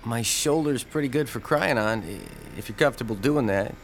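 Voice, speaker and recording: An actor playing an affable, slightly awkward comic fan and friend in a radio drama, hesitant and sympathetic. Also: male voice, mid-range voice, expressive, normal speed, slight background noise, natural voice. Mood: sympathetic, curious.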